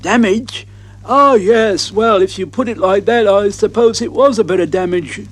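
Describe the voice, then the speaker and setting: A man doing a high folksy orangutan. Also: normal speed. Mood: cheeky. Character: cheeky old-fashioned male orangutan.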